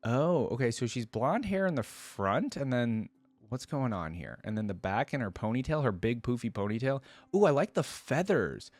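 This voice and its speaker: A man's voice: medium pitch, sing-song, moderate pace, a little mellow, no room sound. A man giving casual, unscripted reaction commentary as a video host, enthusiastic and rambling with informal, slangy wording.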